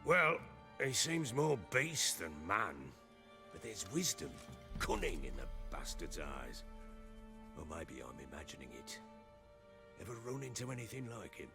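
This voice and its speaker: An actor playing a coarse, boisterous middle-aged baron in a dramatic video game, swearing and commanding. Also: male voice, on the low side, very animated, a little slow, vocal fry, long pauses, music in the background, full character voice. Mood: musing.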